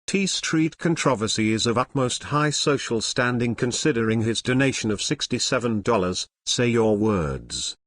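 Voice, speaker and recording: A synthetic male announcer voice at a medium pitch. It reads stream alerts formally and stiffly, in a text-to-speech manner. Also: lively intonation, normal speed, few pauses, fairly bright tone. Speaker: automated donation alert announcer.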